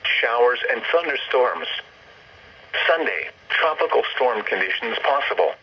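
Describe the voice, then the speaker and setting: A man's voice: medium pitch, very animated, unhurried, husky. Synthetic male weather radio announcer, lo-fi. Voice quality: synthetic text-to-speech voice. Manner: formal, impersonal, list-like delivery. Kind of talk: scripted weather bulletin reading. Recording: dull lo-fi radio broadcast, faint noise.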